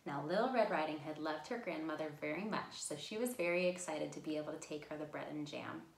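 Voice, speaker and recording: A woman's voice: low voice, fairly quick, gravelly, echoey room, poor audio. A woman hosting a children's storytime, speaking simply and encouragingly as if to young children. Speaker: children's library storytime host.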